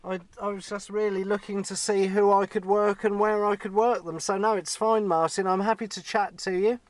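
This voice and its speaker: A man's voice: high-pitched, flat delivery, normal speed, heavy background noise. An easygoing, chatty man making casual amateur radio contact as an operator. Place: in and beside a parked car outdoors.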